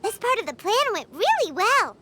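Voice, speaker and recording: A woman voicing a tiny, excitable, childlike fairy companion in a video game, squeaky and bubbly. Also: moderate pace, slight background noise, exaggerated voice. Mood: cheerful. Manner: bubbly, exclaiming.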